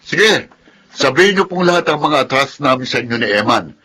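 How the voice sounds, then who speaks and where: An actor playing an earnest, upset grown son in a Tagalog radio drama, pleading emphatically. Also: male voice, medium pitch, lively intonation, fairly quick, sharp tone, slight background noise. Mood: conciliatory.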